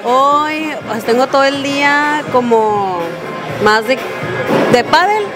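Mexican woman, fairly high voice, music behind. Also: animated, slow pace, a loud crowd. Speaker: physiotherapist. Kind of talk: casual interview answers. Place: indoor bar area of a sports club. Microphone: handheld interviewer microphone.

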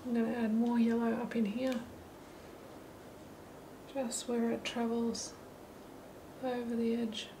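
Middle-aged woman, gravelly and steady, talking through her painting in a holiday flat, faint hiss. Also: on the high side, slow, pauses often. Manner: relaxed, thinking aloud while painting. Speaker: watercolour teacher. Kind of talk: painting tutorial narration. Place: holiday apartment, at a table indoors. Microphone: camera mic above the table.

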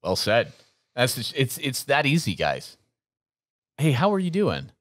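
Man in his 40s, earnest motivational talk, dry close mic, middle pitch. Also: huge pitch swings, measured pace, a bit bright, dry recording. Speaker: podcast co-host. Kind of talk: business podcast talk. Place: gym set up as podcast studio. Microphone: studio mic on boom arm, headphones.

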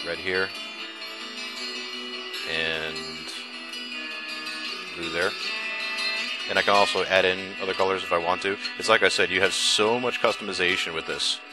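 Male screencast reviewer, bass-register voice, informal and enthusiastic demo delivery over prominent background music. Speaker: software reviewer. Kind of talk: casual screencast demo narration. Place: indoors, voice-over on a screen recording. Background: music playing behind the voice.

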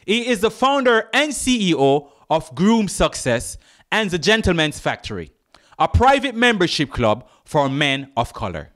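Male show narrator, higher voice, animated announcer read, soft music under. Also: measured pace. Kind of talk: scripted introduction.

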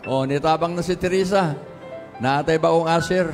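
A man's voice: on the high side, a little fast. A man with a Cebuano Filipino accent addressing a church service as pastor, giving short, repeated directions to the congregation. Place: large church auditorium. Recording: reverberant church hall sound with music behind.

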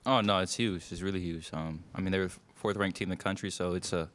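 Low-voiced young man, rapid and clear. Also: fairly even intonation, a bit bright. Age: young adult. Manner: loose, filler-heavy, speaks in quick bursts. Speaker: college basketball player. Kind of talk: postgame press conference answers. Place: press room in front of a sponsor backdrop. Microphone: table mic.

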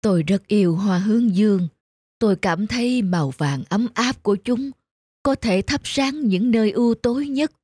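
A woman voicing a frail, gentle old wife in a cartoon, speaking softly and wistfully. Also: medium pitch, normal speed, breathy edge, no echo, natural voice. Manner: soft, wistful.

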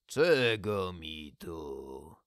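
A man voicing an irritable giant stone woman in a storybook, grinding and gravelly, with slow, curt, scornful speech. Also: low-pitched, sing-song, no echo, clean studio sound, pitched below the performer's own voice, heavily acted. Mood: gruff annoyance.

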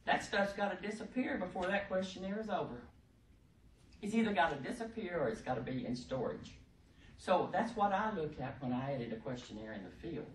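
A middle-aged woman at a podium, talking loud and emphatic in a homespun way. The sound carries across an echoey room from a mic some distance away. A faint hiss sits under it. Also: lowish voice, expressive, brisk. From Texas. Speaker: presenter at a workshop. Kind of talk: informal training talk. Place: conference room. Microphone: podium microphone, heard from across the room.

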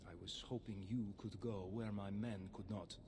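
An actor playing a stern official in a fantasy video game, speaking formally and gravely. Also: male voice, lowish voice, steady tone, a little slow, background noise. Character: stern male official enlisting help to catch an assassin. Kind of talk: video game dialogue acting.

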